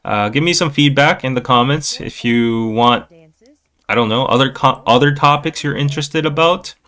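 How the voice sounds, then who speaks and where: A man hosting a casual livestream, talking in a playful, rambling way with halting restarts and replies to viewers. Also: medium pitch, bright, forceful tone. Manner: playful, jokey, often chuckling. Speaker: podcast livestream host. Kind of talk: casual livestream chat, reading viewer comments.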